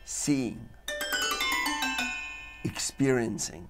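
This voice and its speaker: A man hosting a talk show, declaiming in dramatic lists while his voice is going hoarse. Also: medium pitch, animated, extremely slow, over loud music. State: losing his voice. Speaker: show host. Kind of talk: rambling philosophical monologue. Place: studio with green-screen backdrop.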